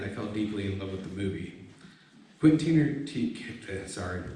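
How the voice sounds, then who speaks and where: Male essayist, 30s, lowish voice, reading aloud with asides, distant mic in a reverberant room. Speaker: essayist presenting his own writing. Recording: very echoey room, distant mic, faint noise.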